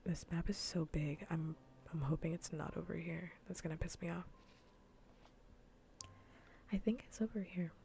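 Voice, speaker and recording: A woman livestreamer chatting casually in ASMR style while gaming, soft, breathy and often whispering, with a playfully scolding manner. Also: on the low side, moderate pace, pauses often, a little hiss or hum.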